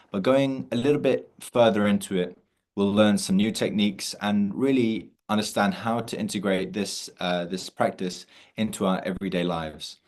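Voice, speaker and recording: A man hosting an online meditation session over a video call, talking in a relaxed way with many fillers and restarts. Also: low voice. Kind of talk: casual online session introduction.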